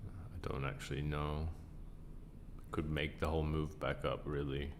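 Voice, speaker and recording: A man hosting a casual live trading stream, croaky and tired, answering chat questions in a relaxed way. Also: a little slow, a little hiss or hum. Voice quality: croaky, deep from sinus trouble. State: tired, up a long time. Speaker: livestream trading host.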